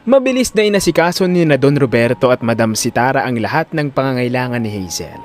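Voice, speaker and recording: A man's voice: mid-range voice, animated, fast talker. A man narrating a dramatic scripted story. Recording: music under the voice.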